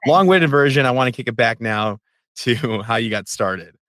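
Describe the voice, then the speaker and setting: A man's voice: medium pitch, animated, normal speed, a bit bright, dry recording. A man hosting a podcast, asking easygoing, rambling questions. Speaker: podcast host. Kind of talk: casual interview chat.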